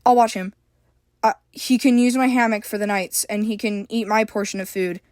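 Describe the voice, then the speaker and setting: A woman voicing a frightened, anxious young girl who stammers and rushes her words. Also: fairly even intonation, a little mellow, no room sound, studio quality, close to an everyday voice. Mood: hesitant. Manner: stammering, frantic, high-pitched.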